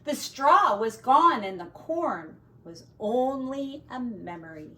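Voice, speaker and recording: Expressive, slow-paced woman reading a storybook. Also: a little high, distant mic. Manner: expressive, deliberate phrasing for children. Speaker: teacher. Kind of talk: storybook read-aloud with casual asides on pictures.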